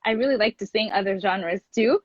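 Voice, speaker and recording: A woman's voice: medium pitch, fairly quick, no room sound. A young woman from Kenya, a native Luo speaker, chatting casually and enthusiastically as an interview guest. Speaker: guest, classical singer and voice teacher. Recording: dull lo-fi close dry sound.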